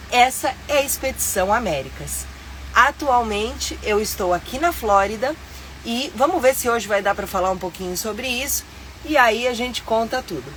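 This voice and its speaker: Brazilian woman, middle-aged, a bit nasal from a cold, chatty and upbeat live host, medium pitch, faint hum of a vehicle behind her. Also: a bit bright, low-quality recording. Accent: Brazilian Portuguese. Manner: chatty and upbeat, with frequent fillers. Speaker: live-stream host and tour guide. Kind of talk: casual live-stream chat. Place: inside her motorhome.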